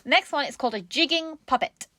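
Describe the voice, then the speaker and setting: A woman's voice: high-pitched, a little fast, pushed and bright, slight background noise. A woman co-hosting a craft show in casual, jokey chat, giggly and easily amused.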